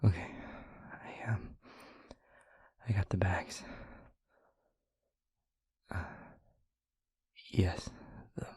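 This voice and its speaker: A very breathy, husky vampire boyfriend acted by a man murmurs low and half-whispered in an intimate audio roleplay. He is gentle and concerned, hesitating often as he reassures his partner, with a slow, careful delivery. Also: steady tone, dry recording, full character voice. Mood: worried, flustered. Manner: soft, hesitant, halting, reassuring.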